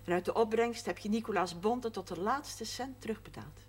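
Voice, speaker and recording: A woman's voice: medium pitch, moderate pace, husky, lightly acted. An actress playing a proud, sober widowed shopkeeper and mother in a naturalistic television drama. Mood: firm, proud. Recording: faint noise, 1970s television soundtrack.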